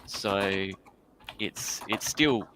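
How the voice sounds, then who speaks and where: A man with a fairly low voice explains things conversationally as a panellist. Faint noise sits behind his voice. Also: very slow, pushed and bright. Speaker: livestream panellist. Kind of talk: casual explanatory chat.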